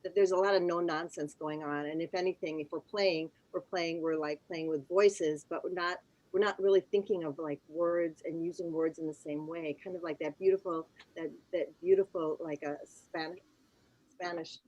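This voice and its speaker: A woman with a somewhat low voice chats on a video call in a dry, close sound. She laughs easily and hesitates with many ums as she thinks aloud. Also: fairly even intonation, moderate pace, darkish tone, no echo, dull audio. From United States. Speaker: guest. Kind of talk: casual conversation on a video call.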